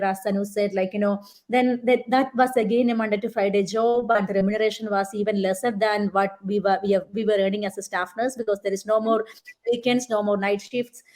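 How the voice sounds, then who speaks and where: A woman's voice: mid-range voice, darkish tone. A woman in her forties from Kerala, India, speaking as a guest over a video call, earnest and reflective, with many fillers. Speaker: guest, nurse. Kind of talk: casual conversational interview.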